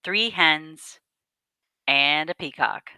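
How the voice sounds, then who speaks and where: A woman reading a picture book aloud to children as a storyteller, with deliberate, dramatic phrasing. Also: sits low, bright, forceful tone, clean tone, no room sound.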